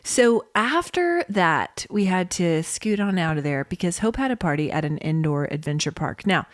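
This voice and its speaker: A woman's voice: lowish voice, big pitch swings, normal speed, no room sound, studio quality. An American woman co-hosting a podcast, chatting casually and telling stories in a wry, mock-serious way. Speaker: podcast co-host. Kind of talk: casual chat and storytelling.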